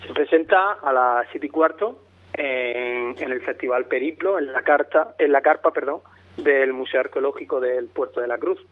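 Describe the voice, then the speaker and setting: A man's voice: medium pitch, moderate pace. A man from Murcia with a mixed Murcian and Andalusian Spanish accent, an author calling in to a radio interview, thoughtful and full of restarts. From Lorca, Murcia, Spain. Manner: thoughtful, many restarts and repeated words. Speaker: author and guest. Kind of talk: radio interview over the phone. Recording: phone line.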